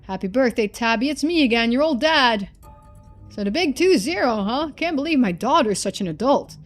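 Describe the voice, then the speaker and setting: A woman's voice: fairly high pitch, animated, normal speed, close to an everyday voice. Loving middle-aged dad, acted by a woman, lonely, folksy, earnest, game letter reading, faint music. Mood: wistful. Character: loving, lonely middle-aged father writing to his daughter.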